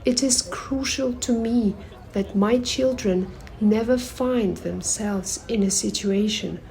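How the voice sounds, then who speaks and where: A woman reading a personal essay aloud at a public reading, in a measured, reflective way with pauses between phrases. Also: on the high side, vocal fry, warm tone, poor audio. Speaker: reader at a performative reading.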